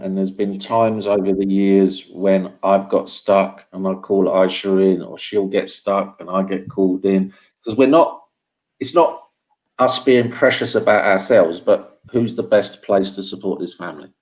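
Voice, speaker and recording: Soft-spoken, patient man in team chat. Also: deep voice, moderate pace. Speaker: family support worker.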